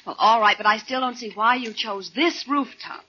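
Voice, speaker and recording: An actress playing a sleuth's puzzled, spirited companion in 1940s radio style. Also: female voice, a little high, normal speed, bright, forceful tone, husky. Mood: puzzled, insistent. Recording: muffled 1940s radio recording.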